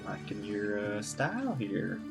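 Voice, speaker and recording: A man's voice: on the low side, wildly swooping pitch, a little slow, gravelly. A man streaming a video game, giving casual live reactions as he plays. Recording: loud game music behind.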